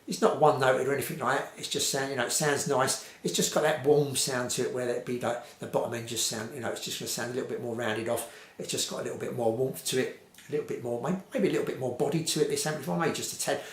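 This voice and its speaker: A man giving an informal, unscripted hi-fi review in a rambling, repetitive way with lots of hedging. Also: mid-range voice. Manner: rambling, hedged, repetitive phrasing. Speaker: vintage hi-fi reviewer. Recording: roomy, echoey home recording.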